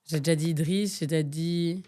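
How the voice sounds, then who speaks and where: A woman's voice: low voice, fairly even intonation, normal speed, dark tone, a little hiss or hum. A young woman from Nigeria co-hosting a podcast, chatting through a guessing game, thinking aloud with lots of fillers and getting exasperated. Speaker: podcast co-host. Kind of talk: casual chat, guessing game.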